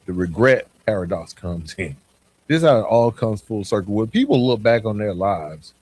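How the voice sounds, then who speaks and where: A man hosting a motivational podcast monologue, speaking in a deliberate, emphatic way and putting questions to the listener. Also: medium pitch, low-quality recording. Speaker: podcast host.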